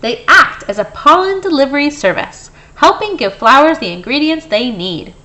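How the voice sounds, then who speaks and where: A woman reading a children's picture book aloud in an expressive way, with brief explanatory asides for young listeners. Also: high-pitched, moderate pace. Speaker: storybook reader.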